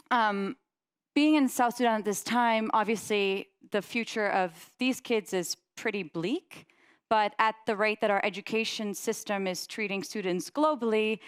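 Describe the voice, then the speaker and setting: A young woman from the Netherlands giving a presentation, telling personal stories in an animated way and laughing often. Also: medium pitch, clean tone. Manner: animated, laughs often, lively voices in anecdotes.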